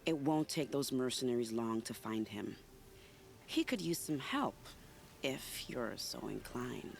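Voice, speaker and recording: A woman playing a sly, secretive, charming spy in a video game, teasing in a silky, unhurried way. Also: very deep voice, very animated, gravelly, heavily acted. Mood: sly, confiding. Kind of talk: video game cutscene acting.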